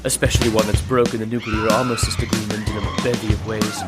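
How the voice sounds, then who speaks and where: A woman playing a smug, teasing, unhurried British intelligence agent in an audio drama. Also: extremely low pitch, little pitch movement, loud music behind the voice. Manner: taunting, unhurried.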